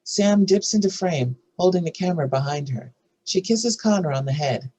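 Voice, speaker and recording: A woman with a husky voice narrating screenplay stage directions in a scripted reading. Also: fairly low pitch, expressive, normal speed, warm tone.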